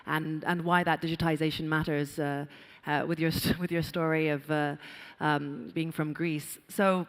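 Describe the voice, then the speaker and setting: A moderator runs a panel discussion at a middle pitch, praising each answer before bringing in the next speaker. A long hall reverb hangs on the voice. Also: level tone, moderate pace, smooth. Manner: affirming, bridges between speakers.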